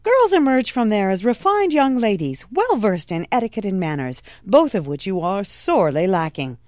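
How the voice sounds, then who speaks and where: Woman acting a strict, shrill society mother. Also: very animated, normal speed, a little mellow, no echo, clean studio sound, close to an everyday voice. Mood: stern, dismissive. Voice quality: shrill when scolding. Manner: prim, commanding. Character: strict, snobbish society mother, scolding and domineering. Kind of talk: period audio drama acting.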